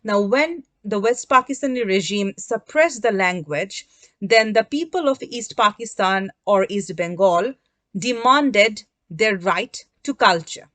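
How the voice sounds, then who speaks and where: A woman's voice: mid-range voice, expressive, unhurried, fairly bright tone, dry recording. A woman speaking in a documentary interview, explaining human rights in a formal, academic way.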